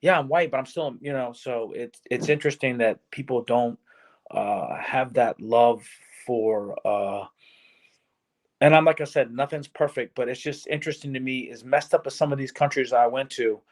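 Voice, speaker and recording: A man in his forties from Virginia talks casually on a podcast. He tells stories from his travels at a steady, middle pitch and drops into quick quoted lines from the people he met. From Virginia, USA. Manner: storytelling, animated, acts out quotes. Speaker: podcast guest. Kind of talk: casual conversation.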